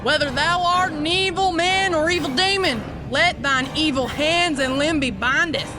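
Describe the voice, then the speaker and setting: A brazen woman exorcist , played by an actress, talks in folksy, sardonic turns of phrase. She is tough and foul-mouthed, in audio drama style, with music clearly behind her. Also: sits high, moderate pace, close to an everyday voice. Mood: commanding chant.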